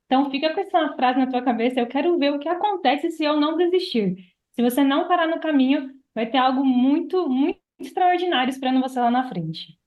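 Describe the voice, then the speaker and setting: A woman's voice: sits high, expressive, fairly quick. A Brazilian woman speaking as a podcast guest in a conversational, motivational style, with thin, narrow sound.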